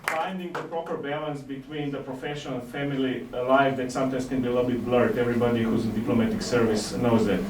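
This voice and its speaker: A man with a Slovenian accent giving a formal reception speech as ambassador, halting with frequent "uh" pauses. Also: medium pitch, steady tone. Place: large hotel reception hall. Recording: echoey hall from far off with room noise.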